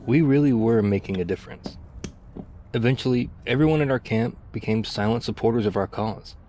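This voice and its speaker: A man playing a battle-worn, wistful ex-soldier survivor of a zombie apocalypse, confiding haltingly and reflectively in an intimate ASMR roleplay. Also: medium pitch, mellow, slight background noise, close to an everyday voice. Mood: regretful, sad.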